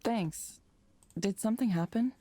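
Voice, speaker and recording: A woman acting a reserved, thoughtful character in a visual novel game, with understated, measured delivery. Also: mid-range voice, mellow, dry recording, natural voice. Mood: subdued, guarded. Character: reserved, thoughtful woman, a former engineer turned counsellor. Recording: music clearly behind the voice.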